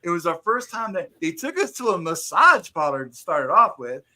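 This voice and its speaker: A man's voice: high-pitched, very animated, moderate pace, a loud crowd. A man co-hosting a casual chat, telling loose slangy stories and laughing along. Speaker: podcast co-host.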